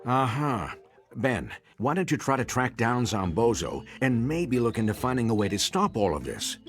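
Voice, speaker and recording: Man as a lively old cartoon grandpa. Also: mid-range voice, moderate pace, faint music behind, natural voice. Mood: concerned. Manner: wry, steady, paternal. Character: kindly, steady elderly grandfather, wry and protective. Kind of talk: animated cartoon game acting.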